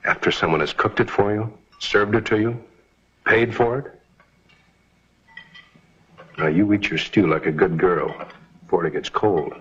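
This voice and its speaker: An actor with an American accent playing a confident, forthright mine superintendent in a vintage Western drama. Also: male voice, lowish voice, unhurried, long pauses, fairly bright tone, slightly rough, full character voice. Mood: blunt, goading. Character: confident, blunt, courting mine superintendent, male. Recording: dull lo-fi vintage soundtrack, music behind.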